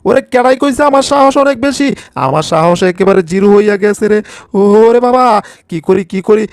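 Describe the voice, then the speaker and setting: A man's voice: sits high, a little fast, vocal fry, clean tone, no echo, clean studio sound. A man voicing an anxious, scolding bulldog in a cartoon dub, with an exclamatory, grumbling manner. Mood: panicked. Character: anxious, scolding male bulldog taking charge.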